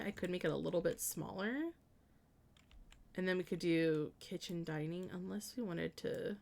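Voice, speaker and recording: A woman streamer chatting casually with viewers as she plans out a house, thinking aloud in a hesitant, meandering way with many trailing restarts. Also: fairly low pitch. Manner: chatty and friendly when greeting and answering viewers. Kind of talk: casual live-stream chat while building in a life-sim game.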